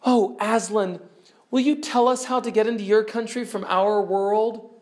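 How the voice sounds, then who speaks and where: Man voicing a high, pleading young girl. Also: steady tone, normal speed, darkish tone, natural voice. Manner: pleading, emotional.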